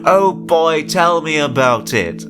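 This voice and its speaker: An over-friendly male amusement park boss, acted out by a man narrator in a horror story. He is folksy, chuckling and patronizing, pitched well up, with menace tucked under the beaming cheer. Music plays underneath. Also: animated, slow pace. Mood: amused, reassuring.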